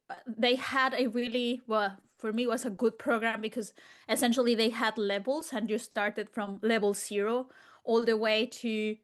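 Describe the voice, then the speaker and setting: A woman's voice: fairly high pitch, a bit bright. Chatty woman. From Mexico. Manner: chatty and enthusiastic, with laughs and restarts. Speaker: guest sports nutritionist. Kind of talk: casual interview answers.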